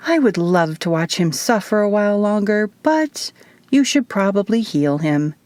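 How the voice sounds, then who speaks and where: A woman's voice: medium pitch, expressive, moderate pace, a little mellow, no echo, voice pushed up, lightly acted. Woman voicing a flippant, teasing young woman. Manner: flippant, scolding. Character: impudent, teasing young woman, a bickering guardian.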